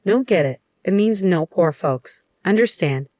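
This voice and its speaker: A snobbish, bullying salesman from a narrated story, acted out by a woman. The voice sits fairly low and comes across as dismissive and scornful, with a close, dry sound. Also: animated, measured pace, dark tone, dry recording. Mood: contemptuous. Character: arrogant, mocking male real estate salesman, snobbish bully.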